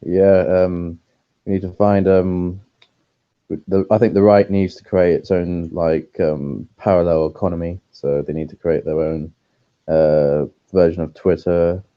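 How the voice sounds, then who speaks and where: A man talking on a podcast, halting, with frequent ums and pauses. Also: sits low, fairly even intonation, dry recording. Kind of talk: casual podcast chat. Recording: dry, slightly muffled lo-fi audio.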